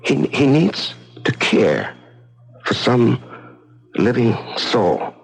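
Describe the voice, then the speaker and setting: A man playing an exhausted, wary survivor of confinement in a radio drama, halting and stammering, with a rough gravelly voice. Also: mid-range voice, big pitch swings, heavily acted. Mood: drained, halting. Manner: halting, stammering, repeats words.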